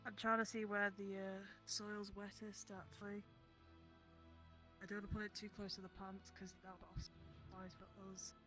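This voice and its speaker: A woman's voice: medium pitch, little pitch movement, normal speed, sharp tone, poor audio. A woman talking casually and hesitantly while roleplaying in an online game. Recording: game voice chat with music behind.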